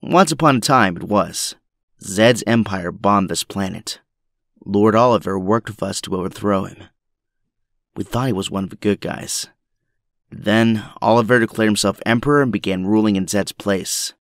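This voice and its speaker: A man's voice: lowish voice, normal speed, a bit bright, no room sound. Older weary rebel captain guy acting, grave, takes charge, audio drama, dry recording. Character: older grizzled male resistance captain, weary, principled leader.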